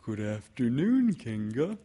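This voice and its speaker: A man's voice: mid-range voice, sing-song, slow pace, warm tone, breathy edge, pitched above the performer's own voice, exaggerated voice. A man voicing a good-natured, simple-minded little toy bear in a storybook, hesitant and earnest, with ponderous delivery. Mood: puzzled, friendly.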